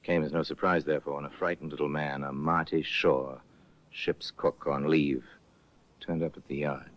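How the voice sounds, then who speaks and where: A man narrating a radio crime drama in a measured, grave manner. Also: rumbling low, fairly even intonation. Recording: muffled old radio broadcast.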